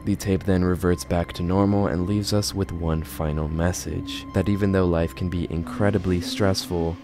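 A man's voice: low-pitched, steady tone, moderate pace, music in the background. A man in his twenties giving casual scripted commentary as a video host, close to a studio microphone. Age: 20s. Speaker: YouTube horror video host. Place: bedroom, indoors. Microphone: close studio microphone on a boom arm.

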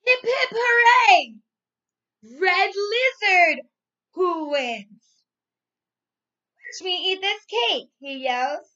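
A woman in her thirties, a primary school teacher reading and explaining to young children in an expressive, clearly enunciated way. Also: extremely high pitch, drawn-out words, long pauses, muffled recording. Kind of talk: read-aloud story and lesson for young children. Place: small furnished room at home, indoors.

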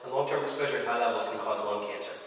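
Male technician, American, halting matter-of-fact explainer, crisp-edged tone, distant mic in reverberant room. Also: mid-range voice, fairly bright tone. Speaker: radon testing technician, guest. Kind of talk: casual explanatory interview answers. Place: small bare basement room indoors. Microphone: camera mic a few feet away.

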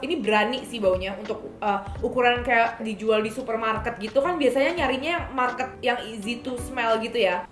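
High, expressive young Jakarta woman. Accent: Indonesian, Jakarta. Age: young adult. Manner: expressive, slangy, thinking aloud. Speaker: beauty vlogger reviewing perfumes. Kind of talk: casual chatty product review to camera. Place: indoor room, seated at a table. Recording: roomy echo, music behind.

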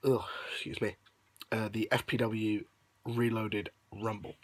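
A young man records a late-night vlog on his phone while lying in bed. His voice is low and rough, husky from shouting, and he sounds a bit sniffly and under the weather. He rambles along with plenty of ums, upbeat despite it. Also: flat delivery, a little slow, no room sound. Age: young adult. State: hoarse voice; unwell, sniffly. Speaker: vlogger. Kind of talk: casual vlog recap. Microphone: phone held close at arm's length.

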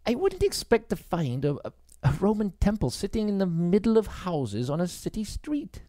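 A male reader puts on a young country policewoman in a mystery audiobook. The voice is lifted high, earnest and inquiring, recorded dry. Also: sing-song, moderate pace, warm tone, dry recording. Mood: questioning.

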